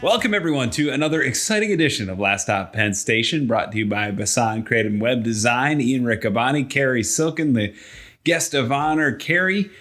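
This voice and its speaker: A man's voice: medium pitch, lively intonation, fairly quick, a bit bright, faint music behind. Male podcast host, mid-range voice. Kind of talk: casual chat.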